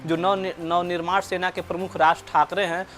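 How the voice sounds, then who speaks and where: A man reporting news live and explaining unscripted, in a halting way, often repeating and correcting his words. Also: high-pitched, flat delivery, fairly bright tone, faint music behind.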